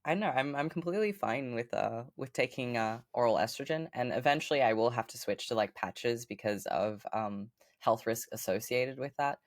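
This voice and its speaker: Woman w a fairly deep voice, chatty and upbeat guest, lots of um and like, dry mic. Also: moderate pace, few pauses, no echo. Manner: chatty, upbeat, many fillers like um and like. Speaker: podcast guest. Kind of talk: casual interview chat.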